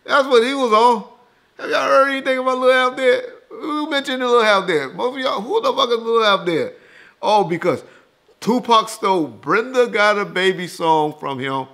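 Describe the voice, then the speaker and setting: A man's voice: very high-pitched, normal speed, creaky, sharp tone. Emphatic man, casual and blunt. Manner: emphatic, dismissive, repeats himself for emphasis. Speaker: interview guest rebutting rumors. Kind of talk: casual, profane opinionated talk.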